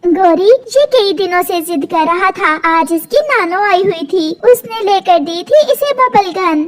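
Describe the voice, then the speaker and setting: A woman voicing a firm, caring mother sparrow in a cartoon, brisk and scolding. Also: very high voice, expressive.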